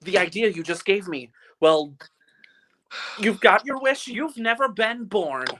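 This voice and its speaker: A man's voice: high voice, animated, a little slow, a bit bright, slight background noise. Radio play angel: male actor as ancient but guileless heavenly helper, earnest and quaint. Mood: delighted. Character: innocent, earnest 293-year-old second-class guardian angel. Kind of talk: old-time radio play live reading.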